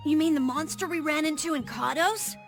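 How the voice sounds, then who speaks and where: Earnest, high-voiced young boy. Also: brisk, soft background music. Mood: curious. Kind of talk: English game dub acting.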